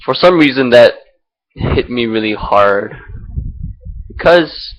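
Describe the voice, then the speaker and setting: A young man from Singapore thinks out loud into his laptop's built-in mic. He talks without a script, in a rambling, hesitant way, circling back with fillers and restarts. His voice sits at an ordinary middle pitch for a man. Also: lively intonation, slow pace, lo-fi sound. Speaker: vlogger. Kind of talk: unscripted personal reflection.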